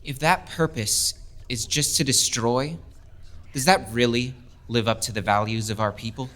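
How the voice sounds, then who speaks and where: A man's voice: mid-range voice, normal speed, fairly bright tone, lightly acted. Impassioned young officer, played by a man. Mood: earnest, rousing. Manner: impassioned, oratorical. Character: earnest young male military lieutenant, proud outsider pleading his cause. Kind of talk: full-cast audio drama speech.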